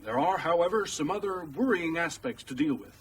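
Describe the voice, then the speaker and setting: A man's voice: on the high side, expressive, a little fast, far from the mic, a little hiss or hum, close to an everyday voice. A man acting in a radio drama as a pushy American current affairs presenter, formal and insistent on air. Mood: pointed, formal.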